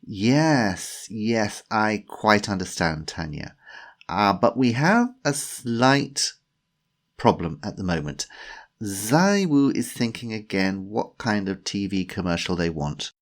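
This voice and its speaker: A man's voice: on the low side, sing-song, slow, exaggerated voice. A man voicing a hesitant, polite fellow in an audiobook story, awkward and placating as he bluffs.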